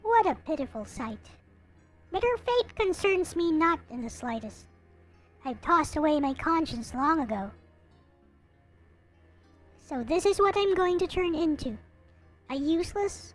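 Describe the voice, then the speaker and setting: A woman voicing a bitter, hopeless young narrator in a visual novel, reading in a melancholy, theatrical way. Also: high-pitched. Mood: resigned, sullen. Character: gloomy, bitter, hopeless young person going blind, self-pitying narrator.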